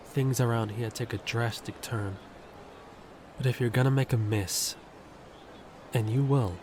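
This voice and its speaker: A man's voice: medium pitch, darkish tone, a little hiss or hum, lightly acted. A man playing a confident, self-centered handyman in an audio roleplay, teasing and cocky. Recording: music bed underneath.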